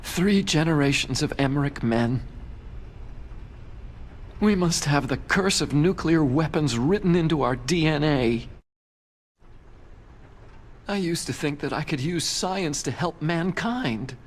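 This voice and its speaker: A man's voice: on the high side, expressive, slow, long pauses. An actor voicing an anxious, idealistic young male scientist in a video game, earnest and emotional. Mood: sorrowful. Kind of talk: dramatic video game voice acting. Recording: faint background music.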